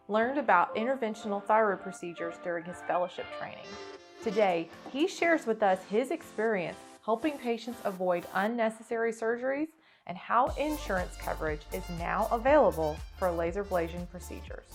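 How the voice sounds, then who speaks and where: A woman's voice: mid-range voice, expressive, normal speed, faint music behind. A woman hosting an interview, asking eager questions with open enthusiasm. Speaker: podcast host.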